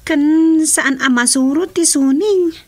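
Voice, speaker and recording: An actress playing a disgruntled, complaining market vendor in a radio drama, with an Ilocano Filipino accent. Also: female voice, sits high, measured pace, no echo. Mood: aggrieved. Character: disgruntled, complaining woman vendor gossiping about a rival. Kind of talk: comedy radio drama acting.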